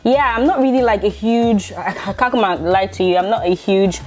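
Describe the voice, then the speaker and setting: Young Nigerian woman, mid-pitched, casual vlogger, chatty and quick to laugh. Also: soft background music. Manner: chatty, laughs often, many restarts. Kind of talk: casual chat to camera. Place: bedroom.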